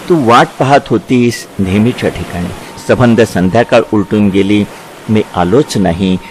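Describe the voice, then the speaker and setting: A man playing Krishna as a tender, reflective lover looking back in a dramatized literary dialogue, speaking with halting repetitions. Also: on the low side, darkish tone, slight background noise, close to an everyday voice, low-quality recording.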